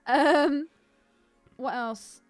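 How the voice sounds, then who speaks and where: A woman co-hosting a podcast in casual, irreverent chat, speaking in an expressive, blunt way. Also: high-pitched, very slow, faint music behind. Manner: expressive and blunt, prone to exclaiming and giggling. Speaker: podcast co-host.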